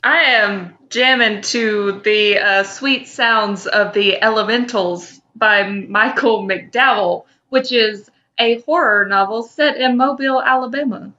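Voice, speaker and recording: A woman's voice: a little high, takes it slowly, hardly any pauses, bright, forceful tone, lo-fi sound. A woman co-hosting a podcast, speaking informally, whether reading fan fiction aloud or bantering. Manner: informal, teasing, profane. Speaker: podcast co-host.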